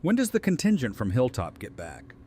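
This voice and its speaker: A man's voice: mid-range voice, wildly swooping pitch, a little fast, darkish tone. A man acting a friendly survivor in an audio drama. His mid-range voice moves up and down a lot as he chats casually, with some noise behind him. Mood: businesslike. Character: friendly male survivor on a community council.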